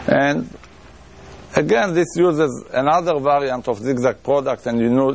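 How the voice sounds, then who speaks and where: Man, Israeli accent, middle-aged, gravelly and husky voice, lecturing, restarts and fillers. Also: mid-range voice, darkish tone. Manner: thinking aloud with frequent restarts and fillers, occasional chuckles. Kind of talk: academic lecture on math. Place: lecture room.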